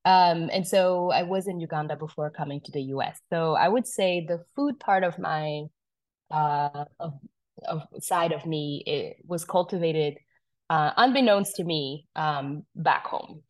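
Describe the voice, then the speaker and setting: Guest woman from South Sudan, low voice, chatty storyteller, dry close sound. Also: measured pace, a little mellow, no room sound. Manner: chatty, storytelling, many fillers and restarts. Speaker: wine business founder, guest. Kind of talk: casual conversation.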